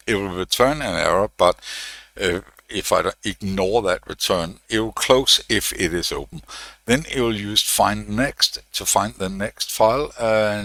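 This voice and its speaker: A man giving an unscripted technical walkthrough as a tutorial presenter, thinking aloud in a halting way. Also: on the low side, bright, forceful tone, no echo.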